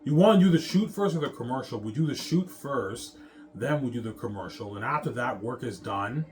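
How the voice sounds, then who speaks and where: A man's voice: mid-range voice, expressive, fairly quick, darkish tone, slight background noise. A man in a casual, rambling monologue, boastful and repetitive as he circles back over his phrases. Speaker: self-styled film maker talking about his movie projects.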